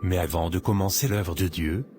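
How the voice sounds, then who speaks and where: Man as a wise cartoon pastor, very deep voice, calm and teacherly, clear music behind. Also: normal speed, natural voice. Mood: counseling. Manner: calm, instructive, sermon-like. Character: wise older male pastor, gentle counselor.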